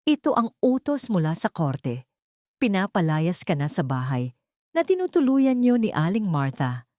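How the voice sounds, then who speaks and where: A cold young heiress confronts her betrayers, as a woman puts her on for an audiobook. The delivery is firm and scornful, lower-set than most women's voices, recorded dry and close. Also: big pitch swings, normal speed, dark tone, no echo.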